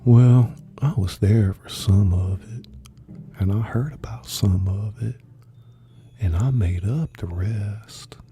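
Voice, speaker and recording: Guy acting as kindly old-tale storyteller, super soft dark tone, slow and reflective, gentle. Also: fairly low pitch. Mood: reassuring, reflective. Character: kindly storyteller narrator recounting Scrooge's tale to young listeners.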